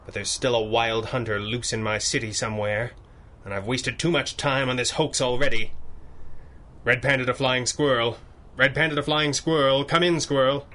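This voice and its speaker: An actor playing a stern masked vigilante in radio adventure style, speaking in formal, commanding phrases. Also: male voice, mid-range voice, steady tone, moderate pace, bright, forceful tone, lightly acted. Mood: brooding, businesslike. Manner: commanding, formal, clipped interrogation.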